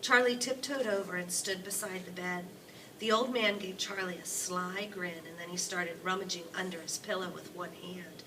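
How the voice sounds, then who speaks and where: A woman reading a children's book aloud in an expressive, chatty storyteller style. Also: medium pitch. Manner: prompting with questions. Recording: distant mic, clearly noisy room.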